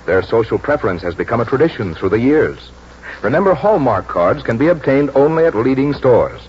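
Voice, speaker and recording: Animated old-time radio announcer, a man. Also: medium pitch. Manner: polished, persuasive, deliberate diction. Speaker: radio announcer and sponsor pitchman. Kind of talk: 1940s scripted radio advertising announcing. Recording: muffled, noisy old 1940s radio broadcast.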